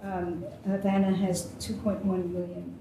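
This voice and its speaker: A woman giving consecutive interpretation in English, hesitant with many fillers, her phrases trailing off softly. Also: fairly low pitch, level tone, slow, crowd noise. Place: university lecture hall. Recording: distant echoey hall with faint noise.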